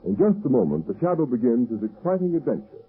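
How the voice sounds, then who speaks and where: A man's voice: medium pitch, sing-song, fast talker, faint music behind. A man announcing in dramatic, emphatic 1930s radio style, as for a show and its sponsor. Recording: dull, muffled old radio recording.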